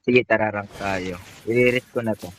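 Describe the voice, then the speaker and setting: A man's voice: on the low side, steady tone, moderate pace. A man with a Filipino accent chatting casually while playing a mobile game. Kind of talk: casual chat while gaming. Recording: background noise under voice chat.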